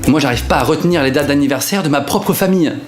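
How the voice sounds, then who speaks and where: A man's voice: a little high, fast talker, roomy sound, over music. A man from northern France, a comedian talking casually to camera in a self-mocking way and swearing freely. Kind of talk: comic sketch narration to camera.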